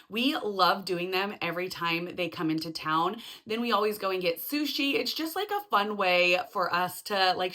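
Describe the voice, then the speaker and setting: A woman hosting a casual home vlog, chatty and upbeat with lots of "like" fillers, her voice slightly hoarse from being sick. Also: mid-range voice, bright, forceful tone. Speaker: vlog host.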